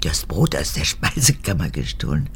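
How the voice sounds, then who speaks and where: An actress playing an imperious, cunning old mother in a radio drama, capricious and theatrical, moving between whispers and sharp words. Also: very deep voice, big pitch swings, moderate pace, creaky, exaggerated voice. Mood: reminiscing. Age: older. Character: imperious, cunning old mother, forgetful former Nazi fanatic. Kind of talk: German radio drama acting.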